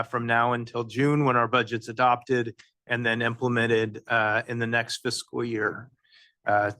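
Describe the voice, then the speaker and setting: A man on agency staff explaining a budget process at a public meeting, halting with many fillers and restarts. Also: medium pitch, fairly bright tone, dry recording.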